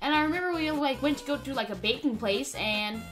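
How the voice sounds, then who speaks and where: A woman's voice: high-pitched, fairly quick, over music. A woman doing in-character roleplay commentary on a video game, hesitant and stammering as she talks.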